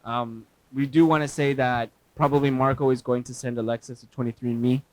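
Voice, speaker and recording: A man co-hosting a casual soccer podcast, talking in an upbeat way and giving shout-outs. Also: mid-range voice, steady tone, fairly quick, dry recording. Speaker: podcast co-host. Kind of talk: casual chat.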